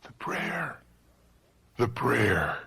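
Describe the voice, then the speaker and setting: Very raspy, unhinged husband. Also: male voice, mid-range voice, sing-song, sharp tone, exaggerated voice. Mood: dazed, obsessed. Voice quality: raspy, gravelly. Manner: halting, dramatic, repetitive. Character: bewildered, unhinged male widower confessing to his poison elixir. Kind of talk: radio mystery drama acting.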